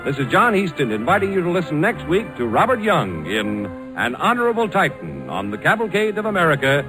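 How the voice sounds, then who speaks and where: Vintage radio announcer, man, animated over music. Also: on the high side, fairly quick, rough recording. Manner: formal, declamatory delivery. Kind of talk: 1940s radio announcing, scripted. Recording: old radio broadcast with music.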